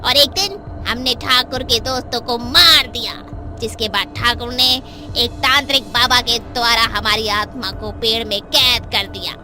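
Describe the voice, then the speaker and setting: A woman voicing a grieving young witch ghost who was wronged, speaking in a hushed, mournful way that sinks toward a whisper. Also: fairly high pitch, a little slow. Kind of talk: animated horror story voice acting. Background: music.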